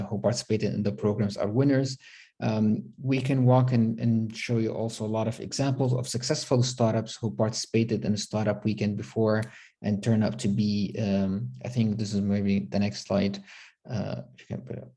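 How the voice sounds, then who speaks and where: A man presenting a program on a video call, halting, with many filler words. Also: on the low side, mellow.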